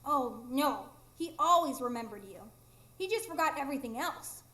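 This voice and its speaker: A proud fairy with a very high voice, stuck living as a human. She is plaintive and emphatic, wounded at heart, acting in a live radio play in an echoing room. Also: lively intonation, normal speed, pushed and bright. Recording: roomy live stage performance.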